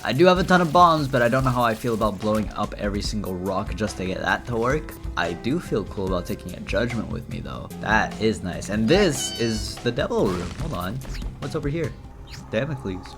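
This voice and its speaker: A man's voice: on the low side, expressive, brisk, over music. A young man raised in England, casually commenting on his gameplay and telling chatty stories with frequent laughter. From Philippines, raised in England. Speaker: gaming streamer.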